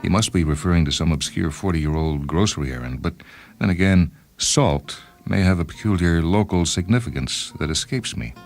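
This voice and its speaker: A man plays an obsessive time-travelling inventor telling his own story. The voice is very deep, deliberate and pedantic, coolly self-absorbed. Music runs behind the narration. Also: lively intonation, creaky, darkish tone. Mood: wry, detached. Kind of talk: first-person dramatized short-story narration.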